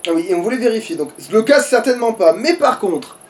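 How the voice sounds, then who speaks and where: A man's voice: sits high, expressive, quick pace, recorded from a distance. A man chatting casually as he plays a game, crude and joking, with plenty of swearing. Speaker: let's player. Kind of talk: casual gaming commentary with a friend.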